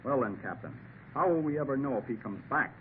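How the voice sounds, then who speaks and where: An actor playing a skeptical sailor who talks in a dry, scoffing drawl. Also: male voice, medium pitch, lively intonation, fairly quick, close to an everyday voice. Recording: tinny old radio broadcast with hiss.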